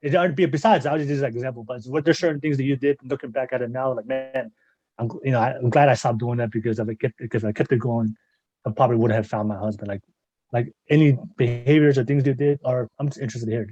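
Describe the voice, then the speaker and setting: A man's voice: medium pitch, steady tone, quick pace, dark tone, dry recording, muffled recording. A man hosting a podcast in a casual interview style, rambling as he repeats and rephrases what he says. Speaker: podcast host.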